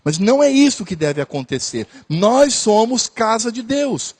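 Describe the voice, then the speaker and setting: Man, pastor preaching, high voice, earnest, repetitive phrasing. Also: big pitch swings, darkish tone. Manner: earnest preaching, repeats phrases, pauses with hesitations. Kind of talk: sermon.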